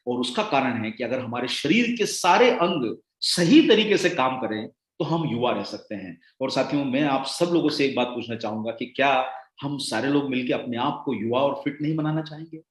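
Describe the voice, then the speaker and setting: A man giving a motivational sales training talk, rousing and calling his listeners friends, his voice swinging between loud and hushed. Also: medium pitch, animated, moderate pace, roomy sound.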